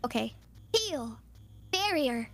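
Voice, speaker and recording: High, lively woman recording voice lines. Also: slow. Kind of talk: casual chat and short performed voice lines.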